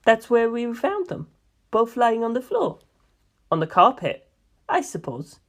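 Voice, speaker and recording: A woman voicing a composed, dryly evasive male mafia member in a measured, matter-of-fact way for a story reading. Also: on the high side, very animated, pitched above the performer's own voice.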